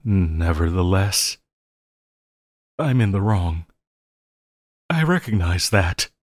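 A man acts out a lovesick, possessive villain in an audio roleplay. His deep voice moves slowly and haltingly as he pleads with his beloved hero in endearments. The sound is close and dry. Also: big pitch swings, no echo, exaggerated voice. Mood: pleading, remorseful. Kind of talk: intimate audio roleplay acting.

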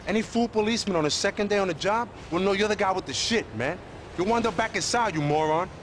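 An actor playing an exasperated young nightclub worker from the streets in a video game, using slang with bits of Spanish. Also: male voice, high voice, quick pace, fairly bright tone, a little hiss or hum. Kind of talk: video game cutscene acting.

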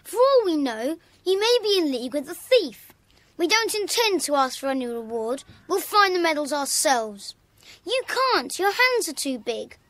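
A woman's voice: high voice, sing-song, normal speed, a bit bright, no room sound. A woman with a British accent playing an earnest, indignant schoolboy in a children's audio drama. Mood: firm, defiant.

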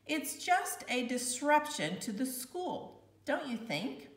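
Storybook schoolmistress, played by a woman: stern, formal, raised pitch, echoey room. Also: big pitch swings, exaggerated voice. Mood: firm, reproving. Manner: formal, measured, deliberate. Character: stern, prim older female grammar teacher. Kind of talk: children's storybook read-aloud. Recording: echoey room, distant mic.

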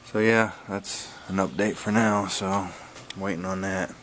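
A man giving a casual, laid-back vlog update in a halting, plain-spoken way, recorded on a handheld camera in a garage. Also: low-pitched, steady tone, fairly bright tone, a little hiss or hum. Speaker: wood-splitting video blogger. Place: garage, open to outdoors. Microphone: handheld camera's built-in mic.

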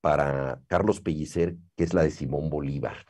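Mexican man, middle-aged interviewer, very low pitch, mellow tone, measured and articulate. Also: steady tone. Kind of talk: cultured interview conversation. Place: living room with bookshelves, seated.

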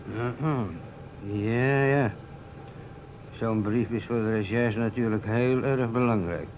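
An actor playing a sceptical, puzzled amateur detective in a radio play, hesitant and grudging. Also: male voice, medium pitch, slow, a little mellow. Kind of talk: Dutch radio play acting. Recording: dull, noisy old radio recording.

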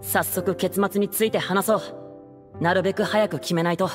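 A man's voice: high voice, steady tone, normal speed, long reverb tail, over music, close to an everyday voice. An actor playing a serious, businesslike young man of a theatre troupe in an anime game. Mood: urgent. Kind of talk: Japanese anime game voice acting.

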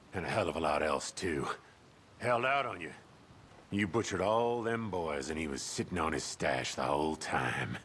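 An actor playing a grim, menacing American outlaw in a western video game, with an ominous, taunting drawl. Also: male voice, low voice, fairly bright tone, slight background noise. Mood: grimly scornful. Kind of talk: video game cutscene monologue.

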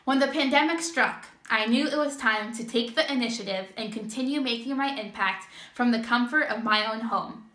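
A woman's voice: on the high side, normal speed, few pauses, pushed and bright. A seventeen-year-old American woman giving earnest prepared remarks about her youth program, recorded indoors at home. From Connecticut, United States. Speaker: youth reading program presenter. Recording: roomy home video sound, faint music.

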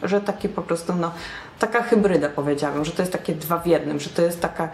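A woman's voice: fairly low pitch, fast, a little mellow, slight background noise. Chatty young woman in a roomy room. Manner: chatty, self-mocking, with English slang. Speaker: alternative fashion vlogger. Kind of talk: casual talk to camera. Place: small bright room indoors. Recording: roomy echo.

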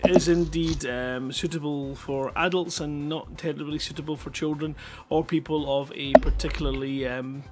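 Higher-voiced man hosting a casual livestream. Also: fairly even intonation, moderate pace, hardly any pauses, heavy background noise. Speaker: live stream host. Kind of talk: casual rambling livestream chat. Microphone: headset.

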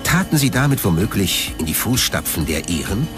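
A man narrates a documentary from a script. His voice is fairly low and moves through lively swings of pitch, with music clearly playing underneath. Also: moderate pace.

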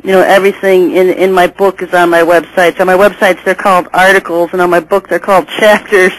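A woman's voice: on the low side, little pitch movement, a little fast, no room sound, low-quality recording. A woman hosting a call-in radio show, chatty and laughing easily, often restarting her sentences.

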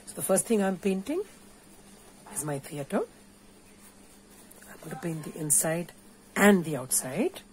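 A woman's voice: lowish voice, animated, measured pace, long pauses, slight background noise. An Indian-accented woman giving an informal, playful and encouraging step-by-step craft tutorial. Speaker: puppeteer teaching a craft workshop. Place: indoors at a craft table.

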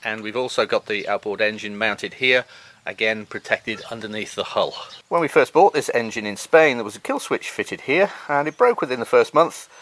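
A middle-aged man hosting a sailing vlog, talking in a relaxed, matter-of-fact way outdoors in a boatyard and narrating voice-overs. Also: lowish voice, normal speed. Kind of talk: casual chat and voice-over narration.

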